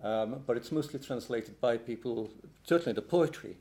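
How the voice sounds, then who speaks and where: A man's voice: medium pitch, normal speed, a little mellow. A man in his sixties, born in Hungary, telling his story on a panel, with many hesitations and restarts. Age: 60s. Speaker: poet and translator on a panel. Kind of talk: panel talk, personal account.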